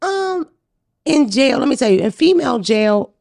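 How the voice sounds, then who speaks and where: A woman's voice: sits high, big pitch swings, normal speed, creaky, darkish tone, no room sound, clean studio sound. A young adult woman, a comedian, telling brash, profane stories in casual chat. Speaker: comedian guest.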